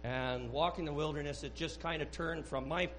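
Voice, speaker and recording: A man sharing a personal testimony at a church service, speaking earnestly and haltingly with many restarts. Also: on the high side, fairly bright tone, a little hiss or hum, low-quality recording. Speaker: church member giving a testimony. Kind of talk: rambling spoken testimony.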